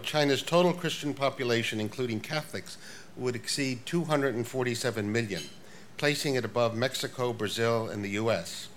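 Older male guest speaker, low voice, measured lecture with dry wit, faint room hiss. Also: fairly bright tone. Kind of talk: prepared lecture.

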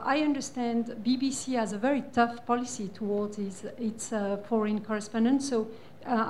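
A woman from New Zealand, a foreign correspondent, asking a panel a question politely and haltingly. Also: fairly high pitch, darkish tone, a little hiss or hum. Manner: polite, halting with many uhs.

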